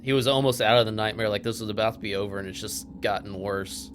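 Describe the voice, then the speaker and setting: A man's voice: fairly low pitch, steady tone, fast talker, background noise. A man playing in a tabletop role-playing game, chatting casually and describing what his character does.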